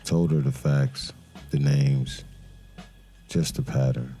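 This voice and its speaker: An actor playing a weary, brooding detective who narrates his case in an audio crime drama with somber, deliberate pauses. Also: very deep voice, steady tone, warm tone, soft background music. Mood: somber, reflective.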